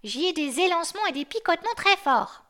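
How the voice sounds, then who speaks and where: A woman doing a high yappy old guard dog. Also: big pitch swings, brisk, sharp tone, exaggerated voice. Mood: lecturing. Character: old grumpy male yard dog, know-it-all.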